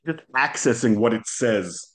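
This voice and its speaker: A man whose first language is Hebrew, explaining history in a relaxed, thoughtful interview with frequent restarts. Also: mid-range voice, unhurried. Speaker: podcast guest, historian. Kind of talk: casual interview conversation.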